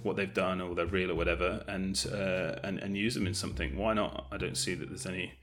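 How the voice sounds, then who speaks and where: Low-voiced man, hesitant interview guest. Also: steady tone, brisk, fairly bright tone, soft background music. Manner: hesitant, self-effacing, with trailing hedges. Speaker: guest, audio drama director and producer. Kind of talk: casual interview chat.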